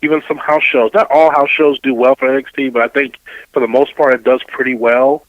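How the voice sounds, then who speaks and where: A man's voice: medium pitch, flat delivery, a little fast, dry recording, lo-fi sound. A middle-aged man, a radio host being interviewed, giving casual opinions and often repeating phrases as he builds a point.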